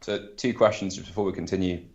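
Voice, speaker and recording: A man's voice: deep voice, fairly even intonation, fast talker, lo-fi sound. A man co-hosting a casual podcast, asking curious, chatty questions. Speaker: podcast co-host.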